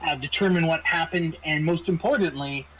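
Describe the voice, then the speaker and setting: A man running a tabletop roleplaying game, casually briefing his players in a halting, explanatory way with frequent hesitations and restarts. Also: on the high side, pushed and bright, slight background noise, poor audio. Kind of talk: semi-improvised briefing to players, narrating a scenario.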